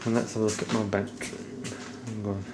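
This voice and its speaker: A middle-aged man giving a casual, low-key, off-the-cuff house tour as he walks around indoors with a handheld camera. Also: flat delivery, normal speed. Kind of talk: casual off-the-cuff narration while filming. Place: indoors, walking through rooms of a house. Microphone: handheld camera at arm's length. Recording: noisy handheld camera audio.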